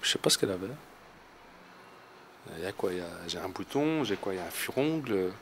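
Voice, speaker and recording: A man's voice: low voice, animated, a little fast, a little hiss or hum. A young man playing an awkward guy on a date, rambling in casual slang and talking his thoughts aloud. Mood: flustered. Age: young adult. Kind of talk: amateur short film, inner thoughts aloud.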